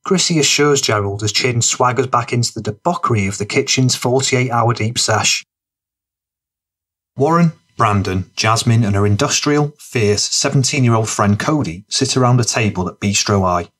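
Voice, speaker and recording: A man's voice: medium pitch, moderate pace, a bit bright. A man reading scripted fiction narration as an audiobook narrator.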